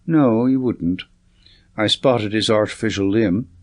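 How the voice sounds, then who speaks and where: A man's voice: on the low side, measured pace, lightly acted. A man voicing a keen, severe master detective in an audiobook, clipped, commanding and precise. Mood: incisive, probing. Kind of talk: audiobook character reading.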